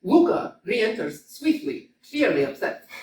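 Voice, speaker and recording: A man reading stage directions aloud in a staged reading, in a plain, measured way. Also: very high voice, big pitch swings, vocal fry, distant mic, slight background noise. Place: small community theater stage. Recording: echoey stage picked up from afar.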